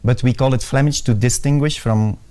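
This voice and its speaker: Man, native dutch speaker, interview guest, mellow, calm. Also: mid-range voice, brisk, smooth. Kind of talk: casual interview.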